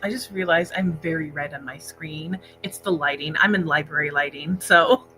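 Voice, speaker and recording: A woman's voice: deep voice, normal speed, background noise. A woman co-hosting a casual book discussion livestream, talking conversationally indoors. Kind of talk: casual chat about books. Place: indoor library room, speaking into a laptop set-up.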